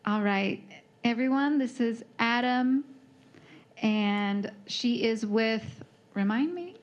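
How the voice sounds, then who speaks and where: A woman's voice: fairly high pitch, takes it slowly. Upbeat woman moderator, dull lo-fi sound. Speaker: forum moderator. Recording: dull lo-fi room recording.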